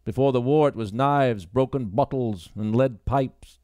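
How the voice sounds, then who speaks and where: A man's voice: mid-range voice, a little slow, warm tone, no echo, studio quality, lightly acted. A man voicing an elderly male constable, an easygoing and kindly old-timer in an audiobook. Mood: reassuring, matter-of-fact.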